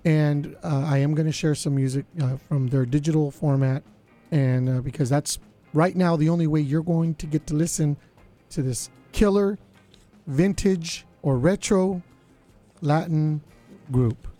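A man hosting a college radio show, his voice slightly raspy, chatting casually with lots of fillers. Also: on the high side, unhurried, mellow, faint music behind. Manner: laid-back, lots of fillers. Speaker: radio DJ host.

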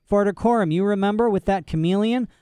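A man's voice: high-pitched, mellow, clean tone, dry recording, pitched above the performer's own voice, close to an everyday voice. A man putting on a spirited pleading girl. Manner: eager, pleading, quick. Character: young girl, spirited and insistent.

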